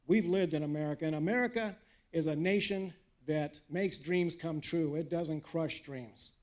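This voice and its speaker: A man's voice: a little high, moderate pace, a little mellow. An older man giving a fiery patriotic speech at a live rally, emphatic and preacherly, with wry asides to the audience. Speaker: guest speaker at a political rally. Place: live event in front of an audience.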